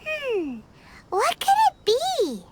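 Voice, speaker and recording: A woman voicing a small, excitable, curious fairy companion in a childlike squeaky voice for a video game cutscene. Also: extremely slow, very noisy, heavily acted. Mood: puzzled, uneasy. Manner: childlike, squeaky, refers to self in third person. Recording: music behind the voice.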